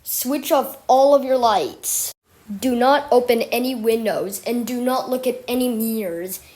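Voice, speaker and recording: A woman's voice: a little high, unhurried, darkish tone. A woman delivering a homemade mock emergency alert as an announcer, repeating her warnings.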